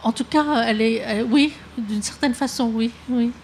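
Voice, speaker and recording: A woman author, a native Vietnamese speaker, talks softly at a public talk, stopping and restarting as she looks for words. Her voice sits fairly high. Faint room noise runs underneath. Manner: hesitant, soft-spoken, many halting restarts. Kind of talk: reading aloud, then answering questions.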